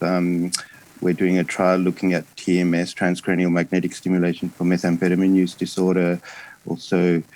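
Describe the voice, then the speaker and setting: A man in his fifties with an Australian accent gives an academic lecture in a hesitant, halting way, often pausing and breaking off words. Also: deep voice, a little mellow, low-quality recording. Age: 50s. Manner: hesitant, halting delivery with frequent pauses and broken-off words. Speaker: psychiatrist and addiction specialist giving a lecture.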